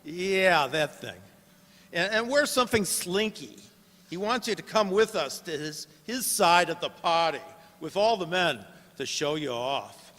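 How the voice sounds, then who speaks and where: Man doing a hesitant, bright-voiced gangster goon. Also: sits high, lively intonation, unhurried, full character voice. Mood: coaxing. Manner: hesitant, slangy. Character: nervous, slow-witted gangster goon, a man. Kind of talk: gangster radio play acting. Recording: noisy hall with long reverb.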